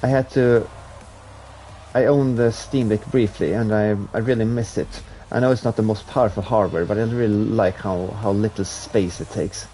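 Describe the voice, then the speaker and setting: A man's voice: lowish voice, normal speed, a little hiss or hum. A young man from Sweden with a Swedish accent, chatting casually with viewers as a streamer while playing a racing game. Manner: relaxed, rambling, with restarts while playing. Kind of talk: casual chat while gaming live.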